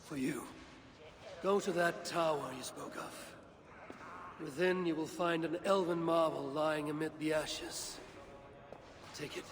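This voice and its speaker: An actor playing a vengeful, weary Norse father god in a video game, speaking gravely and deliberately with a gravelly voice. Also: a little high, steady tone, in a large hall, close to an everyday voice. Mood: grim, commanding. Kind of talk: dramatic cinematic video game acting.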